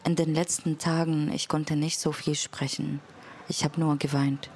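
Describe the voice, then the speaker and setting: Low, creaky-voiced woman, flat delivery. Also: a little slow, a little hiss or hum. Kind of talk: personal account in audio report. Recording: music under the voice.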